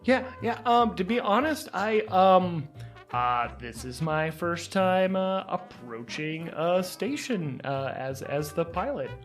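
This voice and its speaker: A man plays a flustered young rookie starship pilot with a raised voice. He stammers apologetically and haltingly, as in a sci-fi audio drama, over background music. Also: high voice, lively intonation, pitched above the performer's own voice. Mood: nervous.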